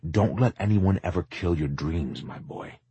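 A man voicing a kindly, dreamy old shopkeeper, gentle, encouraging and wistful, in an audiobook. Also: low-pitched, a little slow, slightly rough, dry recording, lo-fi sound, voice pushed up, heavily acted. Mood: warm, wistful. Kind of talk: audiobook character acting.

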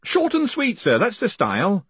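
Man voicing a chummy hangman, pitched up and swooping. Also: wildly swooping pitch, moderate pace, fairly bright tone, breathy edge, no echo, heavily acted. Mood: impatient, nagging. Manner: chummy, colloquial, nagging politely. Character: chatty, cheerfully businesslike male hangman, polite to his customer.

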